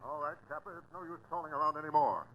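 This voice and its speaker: A man's voice: on the high side, quick pace, dull audio, natural voice. An American man playing a stern, relentless police captain who badgers in terse bursts, in a 1940s radio crime drama. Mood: badgering, stern. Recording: vintage 1940s radio broadcast.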